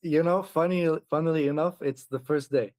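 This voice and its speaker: A man's voice: on the high side, steady tone, moderate pace, darkish tone, dry recording. A man chatting casually as an interview guest, often laughing and restarting his sentences. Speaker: traveler guest.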